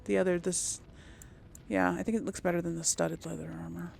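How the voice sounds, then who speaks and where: A woman's voice: fairly low pitch, flat delivery, brisk, creaky, a little mellow, faint music behind. A woman giving casual game commentary as a let's play host, musing aloud to herself.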